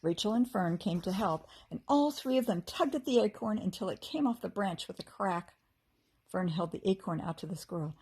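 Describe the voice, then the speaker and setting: A woman teacher reads a children's story aloud. Her delivery is expressive and animated, moving between narration and the voices of the characters. Her voice sits at a medium pitch with a slightly husky edge. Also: normal speed.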